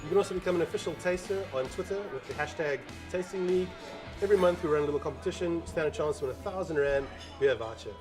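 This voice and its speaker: A man's voice: a little high, quick pace. An upbeat man with a slightly raised voice introducing beers as co-host. He laughs easily, and music plays behind him. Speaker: co-host of a beer show. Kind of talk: casual beer tasting chat.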